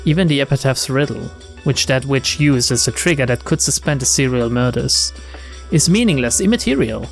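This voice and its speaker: A man's voice: mid-range voice, animated, normal speed. A man hosting a game playthrough, reading the text aloud and adding casual commentary. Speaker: let's play host. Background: game music.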